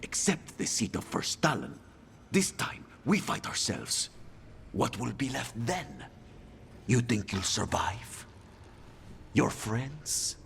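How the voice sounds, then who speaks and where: A man's voice: medium pitch, very animated, slow pace, gravelly, pauses often, a bit bright, slight background noise, heavily acted. A man playing a cynical assassin from a crime family in a video game, with dramatic, pointed delivery. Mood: bitter warning. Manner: dramatic, pointed, needling questions. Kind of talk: video game character acting.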